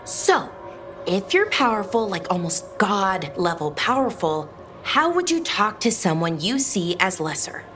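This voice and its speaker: Animated, earnest young female scholar. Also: mid-range voice, a little slow, faint music behind. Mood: awkward, curious. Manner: hesitant, thinking aloud, chatty. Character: earnest, curious, awkward young woman scholar.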